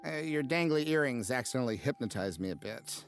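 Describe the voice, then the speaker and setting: An actor playing a nervous, smitten, hapless low-level ad man in an audio drama, rambling and scolding himself. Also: animated, a little fast, faint music behind, lightly acted. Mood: awkwardly polite. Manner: flustered, rambling, self-scolding. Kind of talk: audio drama acting with confiding inner monologue.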